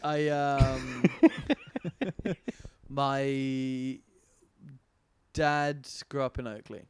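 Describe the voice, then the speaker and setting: Slow, smooth-voiced Australian man. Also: mid-range voice, flat delivery, dry recording. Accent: middle-class Australian. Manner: halting, restarts sentences. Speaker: podcast guest. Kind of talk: casual chat.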